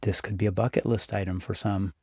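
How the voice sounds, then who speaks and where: A man narrating a scripted guided meditation as the guide, speaking in an unhurried, encouraging, instructive way. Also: fairly low pitch, flat delivery, darkish tone, breathy edge, no room sound, clean studio sound. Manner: unhurried, instructive, encouraging second-person address.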